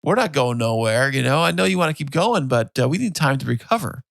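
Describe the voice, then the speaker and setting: A man's voice: medium pitch, expressive, quick pace, gravelly, no echo, clean studio sound. A man co-hosting a podcast in casual chat, easygoing and playful, teasing his partner. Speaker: podcast co-host.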